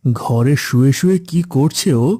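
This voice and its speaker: A man's voice: medium pitch, unhurried, warm tone, natural voice. Man voicing a stern, lecturing cartoon family man. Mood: stern, admonishing. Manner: lecturing, admonishing. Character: stern preachy father, later gruff scolding gambler uncle. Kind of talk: Islamic children's cartoon dubbing.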